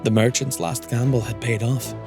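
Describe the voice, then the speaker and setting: Measured male fantasy storyteller over music. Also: medium pitch, steady tone. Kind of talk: scripted dramatic fantasy narration.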